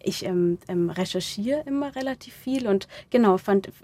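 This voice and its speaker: A woman with a soft-edged, mellow voice at a medium pitch answers interview questions as a writer. She gropes for phrasing and repeats words as she thinks aloud. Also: lively intonation, moderate pace. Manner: hesitant, searching, repeats words mid-thought. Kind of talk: interview conversation.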